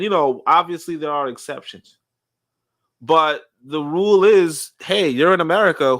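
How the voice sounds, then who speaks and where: A man on a podcast panel who argues his point with lots of repeats and restarts. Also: on the high side. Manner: animated, repetitive, laughing, arguing a point. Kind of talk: livestream panel discussion.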